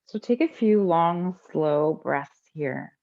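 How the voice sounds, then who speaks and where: A woman teaching a guided breathing meditation in a calm, unhurried way with long pauses between instructions. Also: low voice, creaky, highs cut off.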